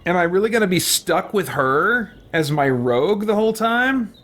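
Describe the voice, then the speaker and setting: A man's voice: high voice, very animated, moderate pace, fairly bright tone. A man hosting casual let's play commentary, thinking aloud with mild exclamations as he plays. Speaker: gaming channel host and player. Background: game music.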